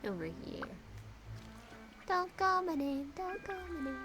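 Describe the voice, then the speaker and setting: A woman's voice: high voice, sing-song, a little slow, music in the background. A woman streamer chatting casually and laughing as she reacts to a game. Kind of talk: casual gameplay commentary.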